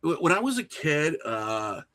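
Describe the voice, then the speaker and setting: Casual man telling animated anecdotes. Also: fairly high pitch, measured pace. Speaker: podcast guest, filmmaker and horror creator. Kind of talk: casual podcast chat.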